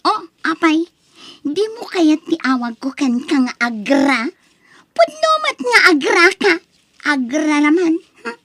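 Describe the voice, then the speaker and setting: Spoiled student daughter for audio drama, female actor: shrill-high pitch, dry sound, scornful, entitled whine. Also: big pitch swings, unhurried, a bit bright, no echo, heavily acted. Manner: whiny, scornful, demanding. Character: spoiled, haughty young rich daughter, a college student. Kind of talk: Ilocano audio drama acting.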